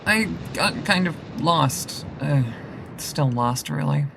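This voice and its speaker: A woman's voice: low voice, expressive, creaky, noisy background, full character voice. A woman playing a confused, hesitant young newly turned vampire in an audio drama. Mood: confused, unsure. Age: young adult. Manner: hesitant, halting.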